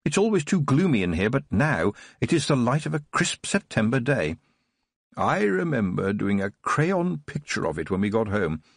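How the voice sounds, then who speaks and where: A husky man reading as a frail old nobleman, lifted a little higher than his own voice, drifting into tender memories with a trembling, wistful lilt. The delivery is warmly animated and gravelly, recorded close and dry. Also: moderate pace, no echo, full character voice. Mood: enthusiastic. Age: older. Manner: trembling, wistful, rambling recollection. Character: frail elderly male aristocrat, tearful and nostalgic.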